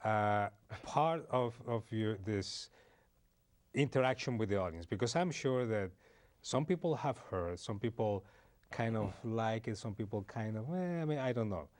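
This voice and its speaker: A man's voice: lowish voice, animated, normal speed, a little mellow. A man interviewing guests on a TV show, laughing often and restarting his questions. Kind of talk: casual interview.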